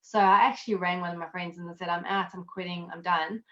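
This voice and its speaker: A woman with a South African accent, chatting casually as an interview guest, self-deprecating and quick to laugh. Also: on the low side, little pitch movement, lo-fi sound. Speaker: guest, accountant and network marketer.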